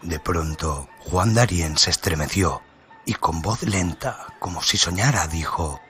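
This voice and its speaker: A man's voice: low voice, unhurried, fairly bright tone, faint music behind. A man narrating a literary story in a dramatic radio style, over a noisy ambient background.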